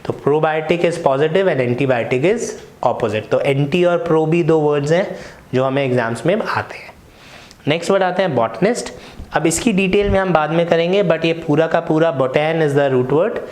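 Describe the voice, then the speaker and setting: A young man with a fairly high voice and an Indian English accent walks learners through word roots. He explains step by step and keeps checking in with rhetorical questions. His voice bounces around a roomy, echoey space. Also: measured pace, a little mellow. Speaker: English vocabulary teacher. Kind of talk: explanatory lesson mixing Hindi and English.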